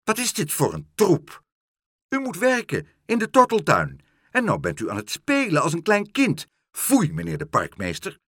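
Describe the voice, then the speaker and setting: A man voicing a fussy, indignant woman in a children's storybook, snappish and shrill as she nags and complains. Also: wildly swooping pitch, moderate pace, dry recording, clean studio sound, exaggerated voice. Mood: scolding. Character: fussy, indignant, scolding woman, easily outraged.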